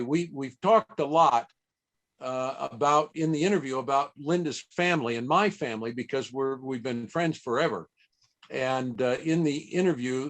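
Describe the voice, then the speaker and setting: A middle-aged American man hosting an online event in a casual, chatty, halting way on a video call. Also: medium pitch. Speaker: event host and interviewer.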